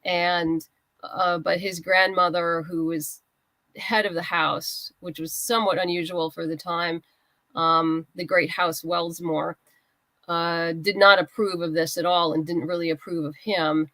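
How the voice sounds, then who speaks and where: A woman with a lowish voice talks as a guest in an interview. She explains her writing in a conversational way. The sound is dry and free of room echo. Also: level tone, measured pace, bright, forceful tone. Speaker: guest writer being interviewed. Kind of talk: conversational interview answers about own work.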